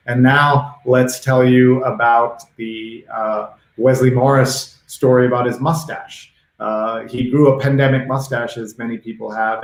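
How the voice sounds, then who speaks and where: A man hosting an unscripted live talk show, speaking haltingly and often repeating phrases. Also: medium pitch, roomy sound, rough recording.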